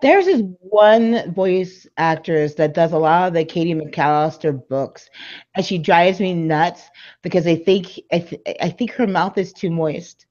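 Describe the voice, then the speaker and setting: Woman, lowish voice, casual rambling chat, lots of filler words, dull lo-fi sound. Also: unhurried, darkish tone, no room sound. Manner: rambling, heavy use of "like" and "you know". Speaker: podcast co-host. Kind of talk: casual chat about audiobooks.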